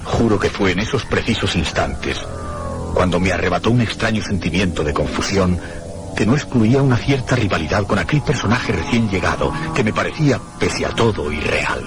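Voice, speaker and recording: An actor playing a troubled, inquisitive gentleman in a radio mystery, formal and brooding, both speaking and narrating his own story. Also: deep voice, lively intonation, brisk, music in the background. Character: troubled, inquisitive gentleman hotel guest recounting a ghostly mystery. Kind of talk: radio mystery acting and first-person narration.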